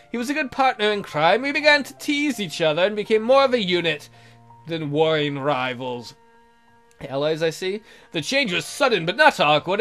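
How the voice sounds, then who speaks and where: A man's voice: sits high, a bit bright. A man reading a visual novel aloud as a let's player, in a theatrical, jokey way with asides. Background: music.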